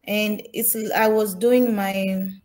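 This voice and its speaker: A young woman from Tanzania presents her slides at a slow, unhurried pace. She pauses often and restarts her sentences, and her is rather than English. She speaks at a mid pitch, like a student giving a talk. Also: fairly even intonation, darkish tone. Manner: halting, many fillers and restarts.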